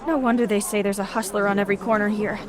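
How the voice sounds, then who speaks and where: An actress playing a young wandering heroine in a video game, wry and direct in manner. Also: female voice, mid-range voice, steady tone, fast talker, lightly acted. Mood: wry, fed up. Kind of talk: video game cutscene acting. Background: music and crowd chatter.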